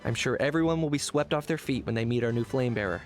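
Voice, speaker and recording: A man playing a cool, pragmatic young hunter for hire in scripted game dialogue, measured and matter-of-fact. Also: medium pitch, close to an everyday voice. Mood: cool, businesslike. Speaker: game character voice actor. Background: game background music.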